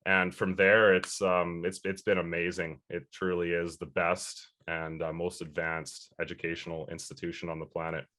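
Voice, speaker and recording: A man's voice: sits low, flat delivery, moderate pace, hardly any pauses, sharp tone. A man in his early thirties answering interview questions earnestly, rambling a little with fillers and restarts. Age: 30s. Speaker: interview guest.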